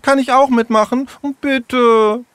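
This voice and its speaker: A man voicing an excited little girl in a storybook reading, breathless and eager to join in. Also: extremely high pitch, takes it slowly, clean tone, no room sound, voice pushed up, full character voice. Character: excited little sister Jule.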